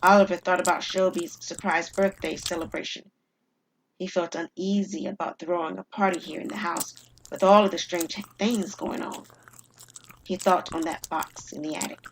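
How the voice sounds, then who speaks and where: A woman's voice: fairly low pitch, steady tone, normal speed, poor audio. Woman narrating suspense story, faint noise. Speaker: storyteller and performer. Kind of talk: scripted dramatic story narration.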